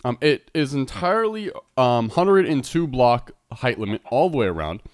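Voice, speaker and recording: A man's voice: mid-range voice, very animated, normal speed, dry recording, studio quality. A man with a stuffed-up, congested nose, chatting his way through a tour of a Minecraft build and correcting himself as he goes. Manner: rambling, self-correcting, chatty. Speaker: Minecraft server let's player. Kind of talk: casual gameplay commentary.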